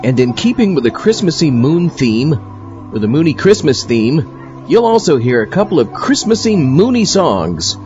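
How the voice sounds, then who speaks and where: Guy hosting a comedy audio show, really lively delivery, music clearly behind him. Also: a little high, moderate pace. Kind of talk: scripted comic narration. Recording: dull sound under clear music.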